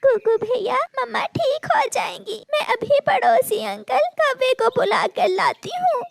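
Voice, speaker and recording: A woman's voice: extremely high pitch, animated, a little slow, gravelly, pitched above the performer's own voice, full character voice. A woman voicing a little girl bird in a cartoon, a tearful, protective big sister who speaks in a pleading way. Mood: anxious, tearful. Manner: pleading, plaintive.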